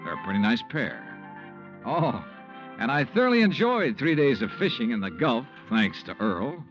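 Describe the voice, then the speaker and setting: A man's voice: medium pitch, big pitch swings, normal speed, close to an everyday voice. Male actor as tough insurance sleuth, American accent, wry, swooping delivery, old radio drama, dull vintage sound. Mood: wry, matter-of-fact. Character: wry, tough male insurance investigator narrating his case. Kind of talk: 1950s radio noir acting, first-person narration. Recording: muffled vintage radio recording, music behind.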